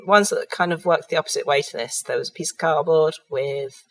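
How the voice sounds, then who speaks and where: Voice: medium pitch, a little fast. A miniatures hobbyist who has a cold. They narrate a product review hesitantly, with frequent ums and restarts.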